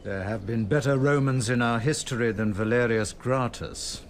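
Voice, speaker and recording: An actor playing a shrewd, commanding Roman tribune questioning a subordinate in a radio drama. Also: male voice, medium pitch, normal speed, a little hiss or hum, lightly acted. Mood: resolute.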